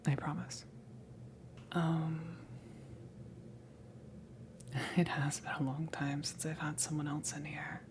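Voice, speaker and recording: A woman voicing a friendly, flustered alien ship crewmember in a soft ASMR roleplay, speaking in a hesitant, breathy half-whisper. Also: sits low, little pitch movement, background noise. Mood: flustered, embarrassed. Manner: hesitant, awkward, giggly, halting.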